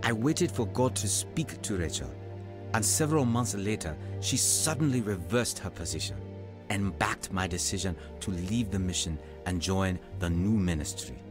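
An actor playing an earnest, self-doubting African evangelist nearing forty, telling his testimony in an audio drama in a measured, confessional way. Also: low-pitched, animated, over music. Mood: reflective. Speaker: narrator and lead character in an audio drama.

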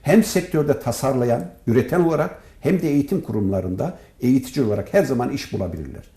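A man speaking as a professor and interview guest, giving formal, emphatic and deliberate answers. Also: medium pitch, lively intonation, dark tone. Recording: roomy echo.

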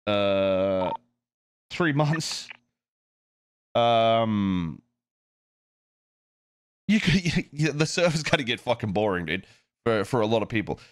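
A man's voice: lowish voice, sing-song, slow, pauses often, dry recording, studio quality. A man talking casually and off the cuff on a stream, swearing freely and thinking aloud, like a game developer chatting to viewers.

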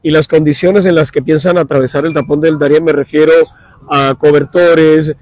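A middle-aged man reporting live for radio from a crowded outdoor dock, speaking in a formal, measured way into a handheld mic. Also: a little high, fairly even intonation, darkish tone, no echo. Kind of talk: live field report and street interviews. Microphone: handheld mic held close.

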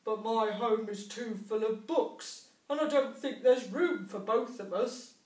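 Lady doing a mumbly bookish mole, sorry sounding, storybook, slow, echoey room. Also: a little high, a little mellow, lightly acted. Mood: apologetic. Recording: roomy classroom, distant mic.

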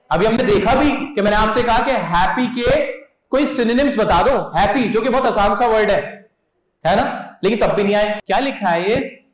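Lively young male teacher in an echoey hall. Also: sits high. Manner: energetic, coaxing, asks the crowd questions. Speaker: English speaking teacher. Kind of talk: live seminar lecture to students. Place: large auditorium stage. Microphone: handheld mic.

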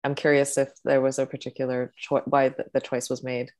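A woman's voice: rumbling low, completely flat delivery, brisk, darkish tone. A woman interviewing an artist on a video call, encouraging and curious, with many small affirmations. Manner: encouraging, frequent backchannel. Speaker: museum interviewer.

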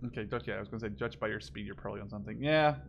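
A man in his twenties hosting a gaming video, giving casual, excitable commentary and swearing often. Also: on the low side, rapid-fire, creaky, dull audio. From Netherlands. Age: 20s. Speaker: gaming channel host. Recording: noisy game audio behind voice.

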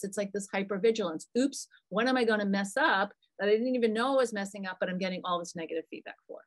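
A middle-aged woman, a psychologist, answering parents' questions in a lively, chatty way on a video call from a small room. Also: medium pitch, poor audio. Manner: talkative, animated, answers questions from the chat. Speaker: psychologist presenting a parent webinar. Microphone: computer mic on a video call.

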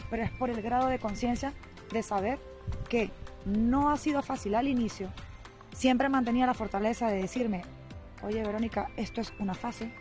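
A young woman with a Venezuelan Spanish accent answers questions in a park, speaking into a handheld mic. Her voice sits a little high, and she talks in a measured, earnest way. Faint music plays underneath. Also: darkish tone. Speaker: guest. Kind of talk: street interview, relaxed answers. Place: outdoors in a city park.

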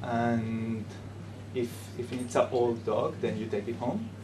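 A young man from Peru with a Peruvian Spanish accent, talking to a class in a halting way with frequent pauses. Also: mid-range voice. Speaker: guest speaker. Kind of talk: informal talk to a class. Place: classroom, indoors. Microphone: camera in the room. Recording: classroom recording with steady noise.

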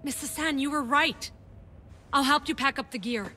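An actress playing a sceptical, exacting middle-aged doctor in a video game, dry and precise. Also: female voice, high-pitched, normal speed, bright, forceful tone, a little hiss or hum. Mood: conceding. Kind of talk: video game cutscene acting.

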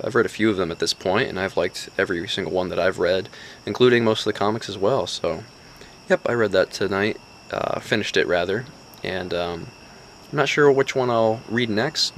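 Young man, lowish voice, casual video diary, rambling, handheld camera, small room. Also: a little hiss or hum. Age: young adult. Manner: relaxed, rambling with many fillers. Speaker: vlogger. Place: small bedroom and dorm room indoors. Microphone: phone or camera at arm's length.

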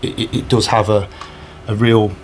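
A man's voice: lowish voice, little pitch movement, moderate pace, a little hiss or hum. A man, answering interview questions earnestly with many fillers and restarts, recorded indoors on a handheld recorder. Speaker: charity match organiser. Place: indoors in front of a sponsor board. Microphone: handheld recorder held by interviewer.

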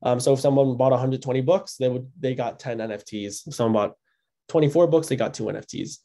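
A young man hosts a video-call webinar, his voice mellow and dark in tone. He talks in a loose, conversational way, full of ums and quick restarts, as he walks through slides and answers questions. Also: mid-range voice, steady tone. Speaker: host of an educational webinar. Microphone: video call microphone.

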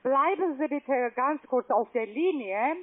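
A woman on a phone call posing as a bank agent, speaking slowly in halting, broken sentences and repeating numbers back. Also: high voice, animated. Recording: tinny phone line.

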